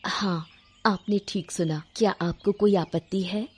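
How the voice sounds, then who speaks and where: A woman's voice: mid-range voice, steady tone, measured pace, darkish tone, husky. A woman playing a sensible, determined, educated young village woman in a cartoon story, polite and composed. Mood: polite, pleased. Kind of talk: Hindi cartoon story acting.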